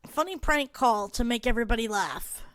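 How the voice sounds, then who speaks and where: A woman's voice: on the high side, lively intonation, a little fast, fairly bright tone. A woman chatting casually as a podcast co-host. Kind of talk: casual group chat.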